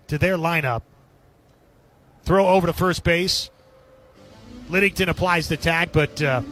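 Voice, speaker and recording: A man's voice: a little high, fairly bright tone. A man calling a baseball game as a radio play-by-play announcer, describing the action in short, clipped phrases. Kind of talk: live sports commentary.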